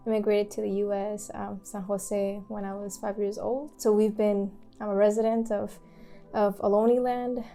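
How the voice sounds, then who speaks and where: A woman from Mexico speaking as a community organizer in an interview, talking haltingly with many fillers and restarts. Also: mid-range voice, flat delivery, warm tone, faint music behind. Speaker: community land trust organizer. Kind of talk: casual interview talk.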